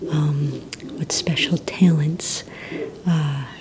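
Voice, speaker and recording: A woman whispering softly and breathily in an ASMR roleplay, playing a polite receptionist who asks questions in a gentle, hesitant manner. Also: sits low, steady tone, very slow, background noise. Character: courteous female villain recruitment receptionist.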